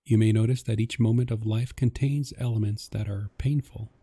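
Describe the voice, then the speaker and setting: Very mellow, husky man guiding meditation. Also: fairly low pitch, dry recording. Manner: gentle, calming, measured instruction. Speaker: meditation guide. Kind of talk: guided meditation narration.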